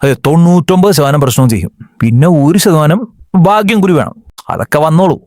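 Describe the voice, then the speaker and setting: A man's voice: fairly high pitch, animated, fairly quick, darkish tone, no room sound, clean studio sound, lightly acted. A young man from Kerala voicing a lazy, jobless cartoon slacker with cocky, sulky comebacks. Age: young adult.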